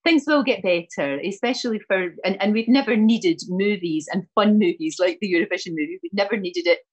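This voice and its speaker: Husky Scottish woman hosting. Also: mid-range voice, brisk. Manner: warm, effusive, repeats words. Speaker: morning TV host. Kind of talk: TV interview chat.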